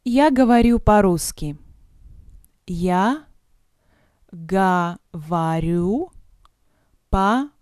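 A woman leads a vocabulary drill at a very slow, deliberate pace. She enunciates each phrase with care, then splits it word by word and repeats it. Her voice is soft and mellow, recorded close and dry. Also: mid-range voice, expressive, clear, resonant tone, no echo. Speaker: language teacher.

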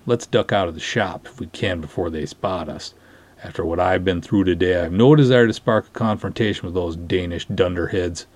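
A man acts out a pompous, earnest canoe cop in a storybook reading. His voice is low and husky, and he talks in ornate, formal sentences with a flustered edge. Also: normal speed, darkish tone. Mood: indignant, puzzled. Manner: formal, florid, flustered phrasing.

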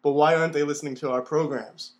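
An actor playing a demanding, imperious wealthy admiral who owns a station in a 1930s-style radio play. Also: male voice, fairly high pitch, steady tone, quick pace, natural voice. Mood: indignant.

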